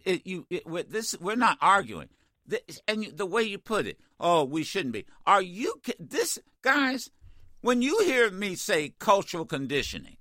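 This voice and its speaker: A man hosting a talk radio show, ranting in an animated, exasperated way with many stammered restarts, his voice getting worn. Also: high-pitched, no room sound, studio quality. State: losing his voice. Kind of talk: opinionated talk radio rant.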